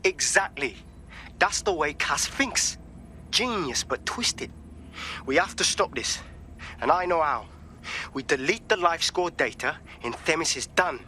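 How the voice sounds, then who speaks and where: An actor playing an excitable, slangy hacker with a British accent in a video game. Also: male voice, a little high, a little slow, long pauses, slight background noise. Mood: agitated. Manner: animated, slangy. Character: excitable male hacker, sharp and street-talking.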